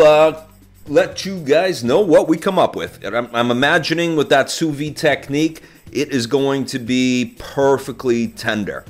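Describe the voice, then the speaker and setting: A man talking casually as a podcast host, walking listeners through cooking step by step in an informal, conversational way. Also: a little high. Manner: informal, conversational, describes steps as they go.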